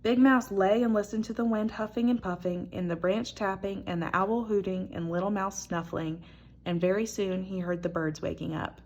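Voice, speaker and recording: A woman reading a children's picture book aloud as an expressive storyteller. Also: medium pitch, normal speed.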